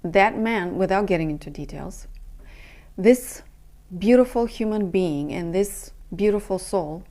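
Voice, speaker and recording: A young adult woman from Romania, a coach, speaking earnestly in a halting personal monologue with many pauses and fillers. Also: medium pitch, lively intonation, warm tone. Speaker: intimacy and breathwork coach.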